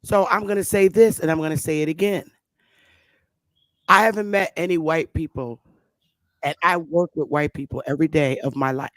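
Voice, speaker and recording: A woman's voice: deep voice, normal speed, pauses often, no echo. A woman hosting a casual online group discussion, who talks emphatically and repeats her points for stress. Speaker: host.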